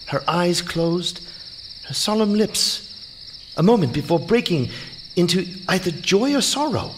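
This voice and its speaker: High-voiced, nervous young man. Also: animated, a little slow, a bit bright, a little hiss or hum. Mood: awestruck. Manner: anxious, protesting, earnest. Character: nervous, reluctant young man with an aesthete's awe. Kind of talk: theatrical audio drama acting. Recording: night insect ambience behind.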